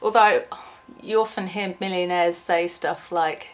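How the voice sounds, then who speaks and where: Young woman vlogger, slightly low voice, has a cold, rambling and chatty. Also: steady tone, a little slow. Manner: chatty, rambling, frequent ums and restarts. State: has a cold, losing her voice. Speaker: small YouTuber. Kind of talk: unscripted rambling vlog.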